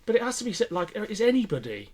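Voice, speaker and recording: A man's voice: high-pitched, sing-song, quick pace, a little mellow. A man co-hosting a podcast, chatting casually and informally with opinions to share. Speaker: podcast co-host. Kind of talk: casual chat reviewing a radio play.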